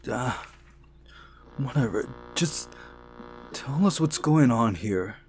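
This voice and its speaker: A man's voice: medium pitch, lively intonation, takes it slowly, darkish tone, a little hiss or hum, voice pushed up. Man acting impatient young guy, raised voice. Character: bewildered young man, impatient visual novel protagonist. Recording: music behind the voice.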